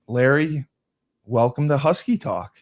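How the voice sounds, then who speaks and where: Slow-talking joking podcast host, a man. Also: mid-range voice. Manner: joking, self-deprecating, reads sponsor copy slowly. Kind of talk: casual interview.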